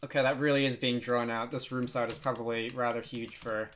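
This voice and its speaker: A man hosting a casual software tutorial, thinking aloud hesitantly and adding wry, self-deprecating asides. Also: medium pitch, level tone, a little fast, a little hiss or hum. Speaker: tutorial host.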